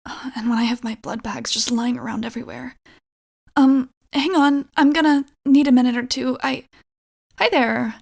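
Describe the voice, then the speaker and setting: A flustered young female vampire played by a woman in an ASMR roleplay. Her voice sits fairly high with a marked creak. She rambles haltingly and apologetically, nervous and awkward. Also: fairly even intonation. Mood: flustered, panicked.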